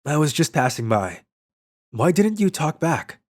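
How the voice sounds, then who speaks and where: A man's voice: a little high, sing-song, measured pace, faint music behind. An actor voicing a cool, stern young male CEO in a manga dub, blunt and commanding in manner.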